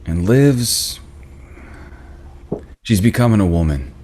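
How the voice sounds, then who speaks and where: A man acting a soft-hearted, concerned small-town cop in a naturalistic screenplay read, earnest and familiar. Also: lowish voice, lively intonation, slow, slight background noise. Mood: tender, concerned. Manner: earnest, gently cajoling, plain-spoken.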